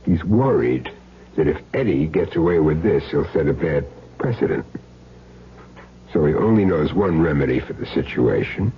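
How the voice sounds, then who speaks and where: An American actor playing a cool, menacing hired hitman in a 1970s radio drama, with clipped, sardonic, deliberate delivery. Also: extremely low pitch, expressive. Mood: sardonic threat. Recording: muffled, hissy 1970s radio broadcast recording.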